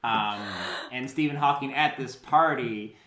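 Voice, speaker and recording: A man's voice: mid-range voice, a little slow, bright, forceful tone. A man bantering casually as a guest judge on a comedy quiz show. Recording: roomy, slightly echoey sound.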